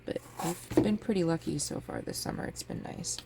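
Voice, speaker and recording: Sniffly, gravelly-voiced woman crafting. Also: low voice, moderate pace. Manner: chatty, relaxed, explains as she works. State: sniffly from a cold. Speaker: crafting channel host. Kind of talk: casual craft tutorial. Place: home craft table with a fan running. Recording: faint fan noise in background.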